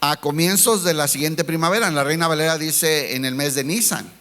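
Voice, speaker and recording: A pastor with a voice pitched a little above the usual for a man delivers a sermon. He keeps throwing rhetorical questions at his congregation and calls them brothers. He drags out key words to stress them, then rushes through the lines in between. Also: a bit bright. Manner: preachy, rhetorical questions, addresses listeners as brothers.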